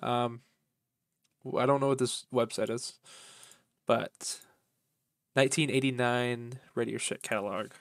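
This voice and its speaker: A man's voice: medium pitch, steady tone, a little slow, long pauses, dry recording. A man chatting casually and informally, rambling in folksy grammar as he vlogs about his collection. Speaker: YouTube vlogger, vintage electronics collector. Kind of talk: casual rambling vlog chat.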